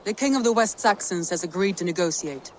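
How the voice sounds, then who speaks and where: An actress playing a confident, loyal Viking woman commander who speaks in an assured, steady way, in a video game. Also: on the low side, a little fast, a little hiss or hum, natural voice, poor audio.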